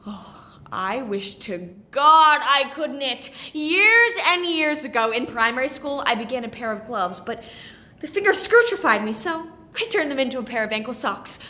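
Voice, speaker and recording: Naive pregnant girl, woman actor: rambling, earnest theatre monologue, high bright voice. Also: lively intonation, moderate pace. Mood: dreamy, self-important. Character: chattering, dreamy, pregnant young Irish bride-to-be.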